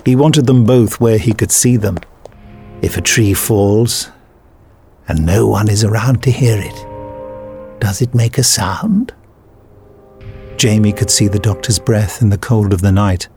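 A man's voice: fairly low pitch, lively intonation, a little slow, long pauses, a little mellow, soft background music. A man reading dramatic scripted fiction as an audiobook narrator.

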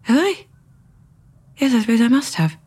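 A woman's voice: a little high, big pitch swings, moderate pace, darkish tone, husky. An actress with a British accent playing a flustered, preoccupied woman in an audio drama, hesitant and distracted. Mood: flustered, shaken.

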